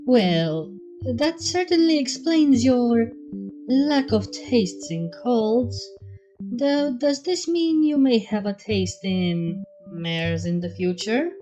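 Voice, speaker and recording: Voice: mid-range voice, expressive, slow pace, warm tone, lo-fi sound, soft background music, voice pushed up. A man voicing a refined, curious, teasing lady pony with a polite, arch manner in a fan fiction reading.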